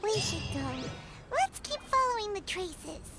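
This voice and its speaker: A woman voicing a small, earnest, childlike fairy companion with a squeaky voice in an English game dub. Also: sing-song, unhurried, heavy background noise, full character voice.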